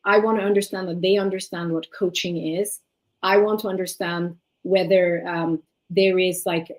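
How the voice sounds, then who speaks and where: A woman raised in Sweden whose first language is Tigrinya, speaking as a life coach in an interview, reflective and measured with frequent fillers. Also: mid-range voice, a little mellow, poor audio. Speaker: life and leadership coach, guest. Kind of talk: interview conversation.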